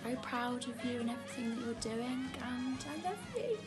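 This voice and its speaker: Effusive young woman, high voice. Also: brisk, music in the background. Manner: effusive, emphatic. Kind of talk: video birthday message.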